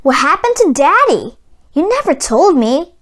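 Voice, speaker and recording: A woman's voice: sits high, very animated, unhurried. A woman reading a storybook, playing a brave, earnest young cowboy boy. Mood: curious. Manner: earnest, resolute.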